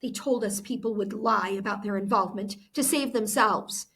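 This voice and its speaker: A woman's voice: medium pitch, normal speed, fairly bright tone, slightly rough. A woman voicing an angry, hurt twelve-year-old girl in a book read-aloud, accusing and emphatic. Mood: indignant.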